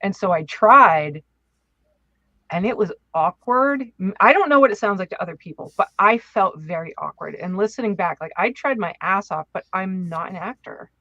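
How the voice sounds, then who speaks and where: A man's voice: sits high, expressive, moderate pace, bright, forceful tone, dry recording. A man co-hosting a casual podcast chat, recorded with slightly dull, limited audio.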